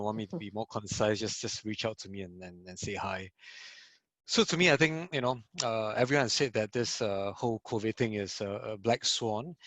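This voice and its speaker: A man's voice: lowish voice, a bit bright, no room sound. A man from Singapore speaking as a security practitioner on a webinar video call, conversational and full of "you know" and "right". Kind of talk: webinar panel talk.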